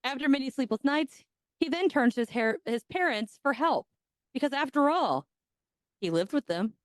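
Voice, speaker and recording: A woman's voice: high-pitched, lively intonation, normal speed, dry recording. A woman co-hosting a podcast, telling a story in casual chat and cracking crude jokes with a laugh. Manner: bawdy, joking, laughs often. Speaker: podcast co-host.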